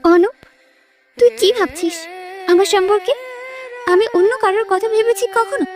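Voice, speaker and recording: A woman playing a sweet, shy young village woman in love in an audio romance drama, soft and pleading. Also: extremely high pitch, moderate pace, soft background music. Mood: hurt, pleading.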